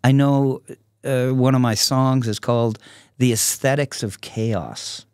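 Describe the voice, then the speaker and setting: A man in his sixties speaking casually and reflectively as a podcast guest, in a halting, thoughtful way. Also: mid-range voice, fairly even intonation, darkish tone, smooth, dry recording, clean studio sound. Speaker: podcast guest, artist and songwriter. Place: small podcast studio with curtains. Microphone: close podcast microphone on a stand.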